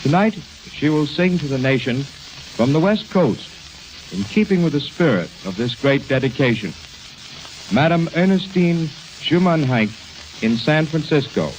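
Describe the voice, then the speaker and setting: A man works as a formal radio announcer in the style of the 1920s, speaking in a fairly high voice. His delivery is measured, and the old recording hisses behind him. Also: lively intonation, poor audio.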